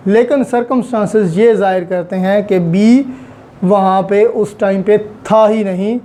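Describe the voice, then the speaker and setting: A man's voice: high-pitched, mellow. A man giving an online law lecture, patiently explaining step by step with examples and English legal terms. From Pakistan.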